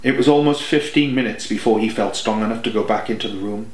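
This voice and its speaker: A man's voice: on the low side, fast talker, echoey room, low-quality recording. A man narrating an audiobook in a scripted, dramatic storytelling style.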